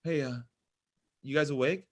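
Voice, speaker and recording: A man's voice: a little high, steady tone, moderate pace, close to an everyday voice. A man playing a self-absorbed, needy young man in a comedy table read, melodramatic and whiny. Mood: needy, pleading.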